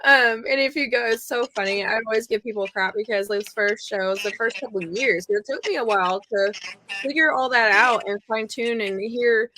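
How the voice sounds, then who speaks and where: A woman's voice: fairly high pitch, normal speed, a little hiss or hum, rough recording. A woman from Oklahoma in her thirties, a wrestling ring announcer, chatting in a podcast interview and telling stories with frequent laughs. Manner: chatty, laughs often, animated storytelling.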